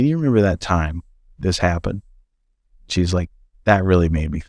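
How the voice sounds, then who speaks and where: A man's voice: low-pitched, gravelly, a little mellow, dry recording, studio quality. A middle-aged man talking reflectively in a casual podcast conversation, trailing off and restarting his sentences. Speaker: podcast co-host.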